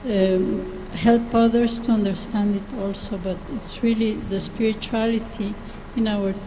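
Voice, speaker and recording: Woman from Guatemala, calm flat speech. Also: mid-range voice, unhurried, noisy background. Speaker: guest speaker. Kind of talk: short speech to an audience. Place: large hall on stage.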